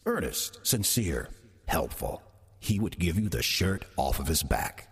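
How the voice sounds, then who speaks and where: Man playing ancient demon in old usher's guise, very low gravelly voice, American accent, sardonic, swinging intonation, horror radio play. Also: big pitch swings, a bit bright, heavily acted. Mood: wry confiding. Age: older. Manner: wry, sardonic, deliberate storytelling. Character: sly ancient demon posing as respected old church usher. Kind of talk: audio drama first-person narration.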